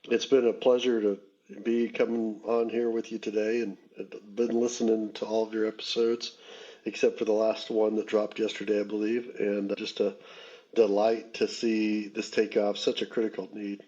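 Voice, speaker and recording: A man's voice: lowish voice, flat delivery, normal speed, mellow, breathy edge, dull audio. A middle-aged man from Texas, a leadership coach, telling his background in a conversational interview.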